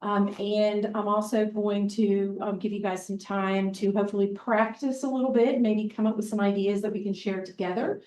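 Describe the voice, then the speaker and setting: A woman with a big voice presenting a teaching workshop as a librarian and facilitator, talking in a relaxed, conversational way with many ums. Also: mid-range voice, normal speed, hardly any pauses. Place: meeting room or classroom. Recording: roomy echo, mic far off.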